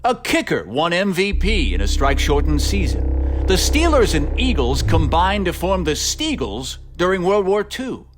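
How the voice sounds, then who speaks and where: A man's voice: high-pitched, very animated, normal speed. A man delivering scripted, humorous documentary narration with playful, mock-dramatic emphasis, like a podcast host. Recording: music bed under narration. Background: music.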